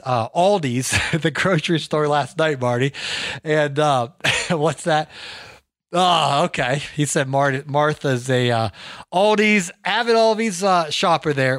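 A hoarse man hosting a podcast, chatting energetically and telling rambling stories. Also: fairly high pitch, a bit bright, no room sound, studio quality. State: hoarse from recording. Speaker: podcast host. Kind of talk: casual podcast chat.

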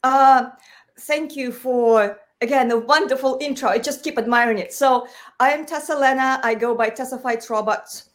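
A woman's voice: sits high, moderate pace. A woman from Russia, a guest on a podcast, talking and laughing easily. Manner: warm, talkative, laughs easily. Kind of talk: podcast conversation.

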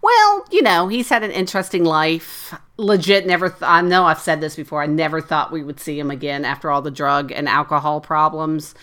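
A woman's voice: low voice, fairly quick, few pauses, a bit bright. A woman co-hosting a podcast in casual chat, teasing her friend and giggling often. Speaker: podcast co-host. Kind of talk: casual chat about movies and shows.

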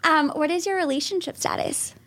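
A woman's voice: high voice, fairly quick, fairly bright tone, dry recording. A woman hosting a podcast interview in a studio, chatty and bubbly, laughing often. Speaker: podcast host. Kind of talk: casual interview chat. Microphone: studio microphone.

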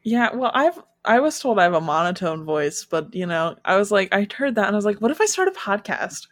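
A woman's voice: mid-range voice, fairly quick. A young adult woman hosting a podcast, chatting casually with many filler words. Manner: chatty, frequent "like" fillers, animated. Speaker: podcast host.

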